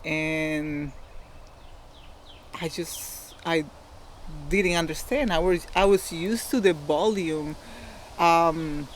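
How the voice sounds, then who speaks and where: A woman's voice: low-pitched, extremely slow, pauses often. Female interview guest, Costa Rican Spanish accent, candid and explanatory storytelling, faint background noise. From Costa Rica. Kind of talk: personal storytelling in casual interview.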